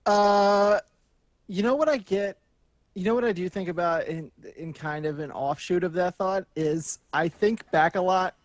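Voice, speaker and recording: A man's voice: a little high, dry recording. A man co-hosting a talk show, chatting informally and wryly, with some swearing. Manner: informal, sweary, wry complaining. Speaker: co-host of a gaming talk show. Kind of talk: casual chat.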